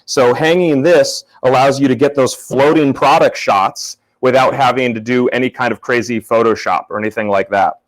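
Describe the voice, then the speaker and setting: A young man from Florida with a loud voice, teaching a casual live photography workshop and talking to viewers in the chat. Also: mid-range voice, steady tone, normal speed, hardly any pauses, poor audio. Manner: casual, upbeat, talks to chat. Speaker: photography instructor.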